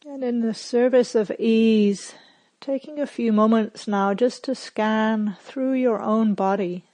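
Slow-paced woman guiding meditation. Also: on the high side, no echo. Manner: calm, measured, gentle instruction with long pauses. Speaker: meditation teacher. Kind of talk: guided meditation.

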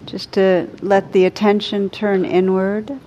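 A woman meditation teacher leading a guided meditation in a gentle, unhurried, soothing way with long pauses. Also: mid-range voice, little pitch movement, highs cut off, a little hiss or hum.

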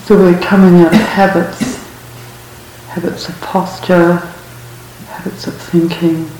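A woman teaches guided meditation in a soft-edged, mellow voice that stays very even in pitch. She is calm and leaves long pauses between short phrases. The recording is strongly echoey, with a faint hiss. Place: large room at a retreat center.

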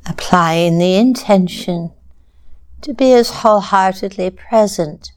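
A woman teaching a guided meditation in a calm, measured way, with long pauses between short phrases. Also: medium pitch.

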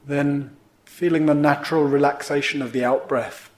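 A man teaching meditation, guiding a sitting in gentle, measured phrases with pauses between them. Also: fairly high pitch, steady tone. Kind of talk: guided meditation instruction.

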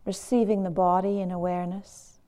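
A woman teaching a guided meditation in a measured, gentle manner with long pauses between phrases. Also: fairly low pitch, level tone.

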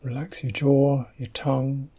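A man teaching a guided meditation, speaking softly and gently in unhurried, halting phrases, with a slightly husky voice. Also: medium pitch, flat delivery.

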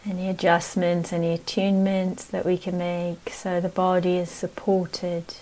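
A woman meditation teacher leading a guided practice in a gentle, unhurried way, speaking in short phrases with long pauses. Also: fairly low pitch, completely flat delivery, poor audio.

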